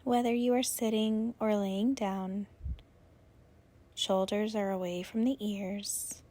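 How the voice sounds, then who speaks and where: A woman leading a guided yoga nidra relaxation, giving soothing, unhurried instructions with long pauses. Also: mid-range voice, steady tone, dark tone.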